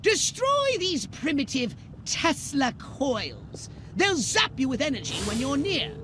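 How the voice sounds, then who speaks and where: A man's voice: very high-pitched, wildly swooping pitch, slow pace, sharp tone, slight background noise, exaggerated voice. A man voicing a bossy, scheming alien commander in a video game, imperious and theatrical. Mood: scheming, instructive.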